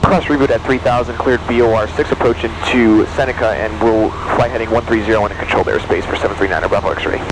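A man's voice: on the low side, quick pace, hardly any pauses, background noise. A young man making clipped aviation radio calls through a headset in a small plane. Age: young adult. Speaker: pilot on radio. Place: small plane cockpit in flight. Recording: cockpit headset audio with music.

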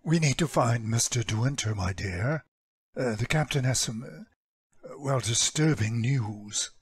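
A man playing a kindly, courteous gentleman who speaks haltingly in old-time radio drama style, with a husky voice. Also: mid-range voice, no echo, studio quality. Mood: polite, uneasy. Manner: halting, polite.

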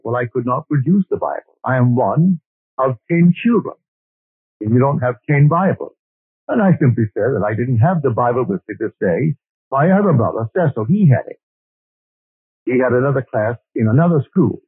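An older man from Barbados lectures earnestly, weaving stories with quoted scripture and verse. His voice is husky and dry, heard through a narrow, lo-fi old recording. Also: medium pitch, expressive, moderate pace, dry recording. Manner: earnest storytelling, quoting scripture and poetry. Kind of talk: spiritual lecture. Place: lecture hall. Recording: old lo-fi tape recording, phone-like band.

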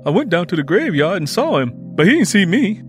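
Guy doing excitable little boy in a story, high voice, really animated, folksy talk. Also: a little fast, faint music behind. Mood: excited, alarmed. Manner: emphatic, folksy vernacular. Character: excitable, stubborn young Southern boy, Willie. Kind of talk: dramatised audiobook dialogue.

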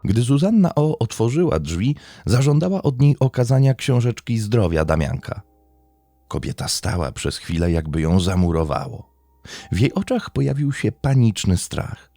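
A man narrating a scripted true crime story in a measured, dramatic storytelling manner. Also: medium pitch, big pitch swings, mellow, no room sound. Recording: faint music behind. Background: faint background music.

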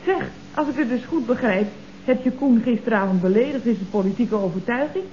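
A woman's voice: fairly high pitch, animated, a little fast, warm tone, lightly acted. An actress playing a sceptical, level-headed woman in a Dutch radio comedy, with a Dutch accent. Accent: Dutch, from the Netherlands. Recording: dull, noisy old radio recording.

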